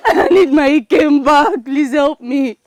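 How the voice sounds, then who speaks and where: A woman's voice: sits high, extremely slow, warm tone. An actress playing a desperate, heartbroken young bride-to-be in a village melodrama, crying as she talks in a Nigerian accent. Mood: desperate pleading. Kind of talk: village melodrama film acting.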